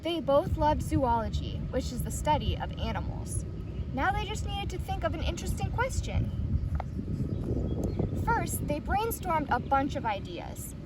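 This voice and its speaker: Distant noisy recording, woman, high pitched, expressive children's read-aloud, storytelling narration. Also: unhurried. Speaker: teacher reading a picture book aloud. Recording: distant mic, loud outdoor ambient noise.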